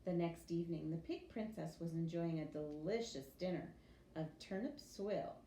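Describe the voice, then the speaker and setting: Female storybook reader, expressive teacher style for young kids; echoey room, noisy recording. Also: on the low side, normal speed. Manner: animated storytelling with acted character voices. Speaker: teacher reading a picture book to young pupils. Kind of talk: expressive children's storybook read-aloud. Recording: echoey room with clear background noise.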